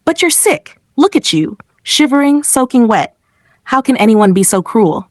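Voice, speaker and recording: A woman storyteller voicing a kind, worried, well-dressed man who speaks softly and protectively in a folktale. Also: fairly high pitch, sing-song, moderate pace, no echo, clean studio sound, voice pushed up. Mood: concerned.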